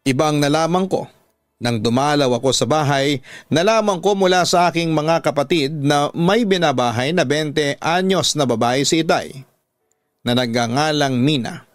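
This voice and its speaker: Filipino man narrating, dry and higher pitched. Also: normal speed, dry recording. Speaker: radio drama host and narrator. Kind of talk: scripted dramatic story narration.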